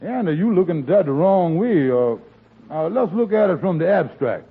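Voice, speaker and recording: An actor playing a scheming, smooth-talking male would-be promoter with wheedling dialect speech, in 1940s radio comedy. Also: sits high, expressive, normal speed, exaggerated voice. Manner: wheedling, fancy-word talk, dialect speech. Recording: tinny old radio broadcast recording.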